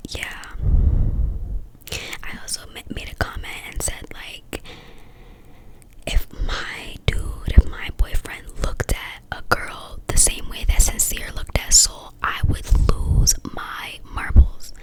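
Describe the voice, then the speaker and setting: Woman whispering her opinions asmr style, breathy n slangy, super casual chat. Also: takes it slowly, rough recording. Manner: opinionated, informal slangy phrasing. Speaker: vlogger reviewing a reality dating show.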